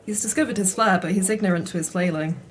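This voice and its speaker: A mid-pitched woman of the tavern played by an actress in an audio play. She has a wry, teasing way of talking. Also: fast talker, natural voice.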